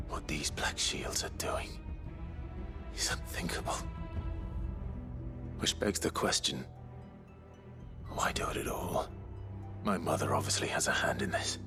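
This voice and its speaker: An actor playing a grave, earnest young swordsman in a dramatic game cutscene. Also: very deep voice.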